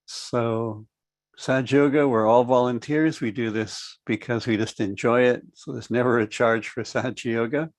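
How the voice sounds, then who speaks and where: A middle-aged man hosting an online meditation class over a video call, speaking calmly and in a measured way. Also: medium pitch, dry recording. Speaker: host. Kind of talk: friendly online class introduction.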